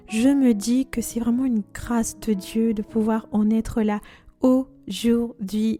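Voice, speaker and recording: Young woman with a mellow voice. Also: on the high side. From Congo. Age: 20s. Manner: earnest, devout, chatty with fillers. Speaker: podcast host. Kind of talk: faith podcast monologue.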